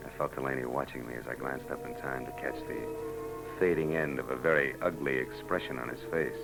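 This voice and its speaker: A man's voice: a bass voice, steady tone, brisk, low-quality recording. An actor playing a hard-boiled private eye who narrates his case in a 1940s radio drama, wry and world-weary. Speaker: narrator and lead. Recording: old radio broadcast with background music.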